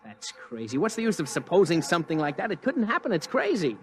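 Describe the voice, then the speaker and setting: A folksy working man from a radio play, with a slightly high voice. He is blunt, colloquial and dismissive, a seasoned skeptic. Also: huge pitch swings, fast. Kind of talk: radio drama acting.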